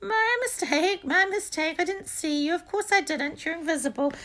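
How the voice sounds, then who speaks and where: A woman voicing a mischievous, wheedling male prankster spirit with a shrill, sing-song delivery, in a storybook reading. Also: moderate pace, a bit bright. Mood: fawning, servile. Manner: taunting then fawning.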